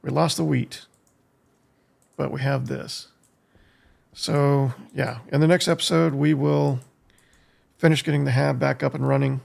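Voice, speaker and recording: An American man streaming a game, giving casual live commentary in a halting way as he thinks aloud, with a gravelly, husky voice. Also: mid-range voice, fairly even intonation, a little mellow. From United States. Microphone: streaming desk microphone.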